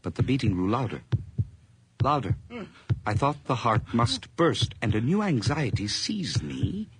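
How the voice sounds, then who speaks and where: An actor playing a mad, nervous murderer confessing in an old radio horror drama, theatrical and intense with whispers and outbursts. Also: male voice, medium pitch, very animated, a little slow, a little hiss or hum. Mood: feverish agitation.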